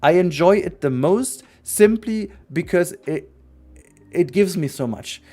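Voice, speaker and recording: A young man with a slightly high voice speaks English as a second language while chatting on a livestream. He is earnest and rambling, restarts sentences often, and keeps circling back to what he means. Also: animated, a little slow, dark tone. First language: not English. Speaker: streamer. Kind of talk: casual livestream chat.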